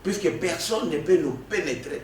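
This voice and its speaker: A man speaks with insistent, animated energy, often repeating and restarting words as he presses his point. His voice sits a little high and sounds distant from the microphone. The room echoes, and there is faint noise. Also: moderate pace. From Democratic Republic of the Congo. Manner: emphatic, animated, with frequent restarts and stammers. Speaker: political guest. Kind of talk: political interview.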